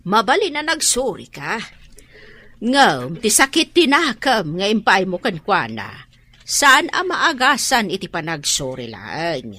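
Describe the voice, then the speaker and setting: A woman's voice: medium pitch, wildly swooping pitch, measured pace, pushed and bright, dry recording, exaggerated voice. A woman playing an exasperated, nagging mother in an Ilocano radio drama. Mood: reproachful. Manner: nagging, reproachful. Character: exasperated scolding mother of a gambling grown daughter.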